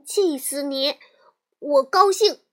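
A woman's voice: a little high, very animated, takes it slowly, heavily acted. A woman voicing a bossy, boastful child who plays the teacher and gives orders. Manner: bossy, commanding.

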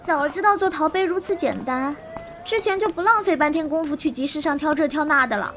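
Fierce, naive girl disciple for a martial-arts radio play, female actor: tiny, very high voice, blunt and proud. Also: fairly quick, a bit bright, slight background noise. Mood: naive, curious. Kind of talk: Chinese wuxia audio drama acting.

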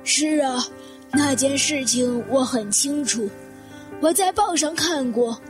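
Woman playing high-voiced, composed widow in a mystery drama. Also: slow pace, over music. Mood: grave, composed. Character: composed older widow, Madam Honma, recounting a suicide. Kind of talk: Chinese audio detective drama acting.

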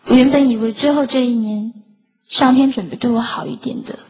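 A woman playing a teenage schoolgirl in an audio drama, narrating softly and wistfully. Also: fairly high pitch, steady tone, brisk, close to an everyday voice. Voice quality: soft, wistful. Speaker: lead and narrator. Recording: dull lo-fi sound with loud music.

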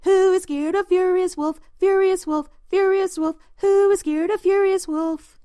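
A woman voicing small, cheeky little pigs in a storybook reading, high and singsong. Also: lively intonation, slow, warm tone, no echo, clean studio sound, heavily acted.

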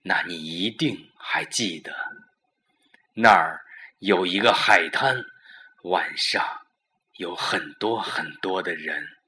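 A man playing a smug, scheming murder plotter in a suspense audio drama, coaxing his lover with emphatic, deliberate instructions. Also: sits low, bright, forceful tone, heavily acted. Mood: probing, confident.

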